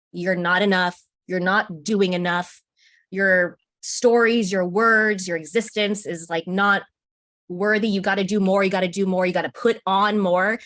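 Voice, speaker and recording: American woman guest, earnest, encouraging, laughs often; mid pitch, dry close sound. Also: a bit bright, no room sound. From United States. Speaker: interview guest, contributing author. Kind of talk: casual interview conversation.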